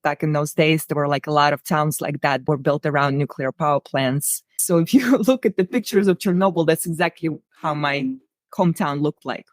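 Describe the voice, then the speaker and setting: A woman's voice: deep voice, moderate pace, no room sound. A woman from Russia with a Russian accent, speaking conversationally and earnestly as an interview guest telling personal stories. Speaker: interview guest, writer-director.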